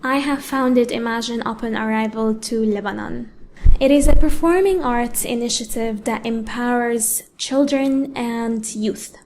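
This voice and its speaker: A woman from Egypt, a pianist and educator, giving a prepared speech in a measured way with deliberate pauses. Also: on the high side, a little mellow, a little hiss or hum. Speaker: award-winning pianist and educator giving a talk.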